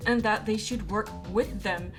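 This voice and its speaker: Female video commentator, mid-pitched, earnest and opinionated, clear music bed underneath. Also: measured pace. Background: background music.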